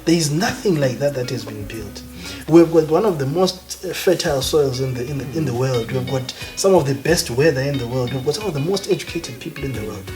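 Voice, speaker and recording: A man with a Zimbabwean accent, a guest academic talking emphatically and with passion in a relaxed interview. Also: medium pitch, a little fast, few pauses. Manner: emphatic, passionate, mixes in Ndebele phrases. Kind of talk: conversational interview. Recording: background music. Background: music.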